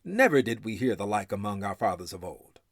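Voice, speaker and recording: A man reading scripture aloud in a solemn, formal and measured recitation style. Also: fairly low pitch, very animated, no echo, clean studio sound. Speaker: scripture reader.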